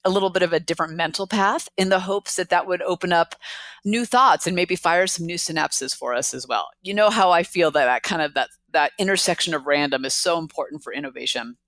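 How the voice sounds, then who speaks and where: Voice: medium pitch, fairly bright tone, no room sound. A podcast host giving an upbeat, chatty introduction and then interviewing a guest. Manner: chatty, upbeat, quick laughs. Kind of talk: casual interview intro and chat.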